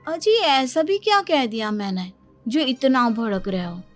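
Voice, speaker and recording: A very high-voiced young housewife played by a woman from a cartoon family drama, with music underneath. She is melodramatic and full of self-pity. She complains and praises herself in the same breath. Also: animated, measured pace. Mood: wailing self-pity. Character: whiny, boastful, self-pitying young married woman, a daughter-in-law. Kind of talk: Hindi cartoon story dialogue.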